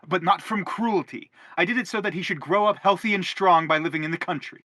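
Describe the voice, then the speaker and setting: Man acting anguished middle-aged father, theatrical, wordy, insistent, sharp bright tone, dry close sound. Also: high voice, brisk, no room sound. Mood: pleading, self-justifying. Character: anguished, self-justifying middle-aged father, pompous and exasperated. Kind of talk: theatrical stage drama acting.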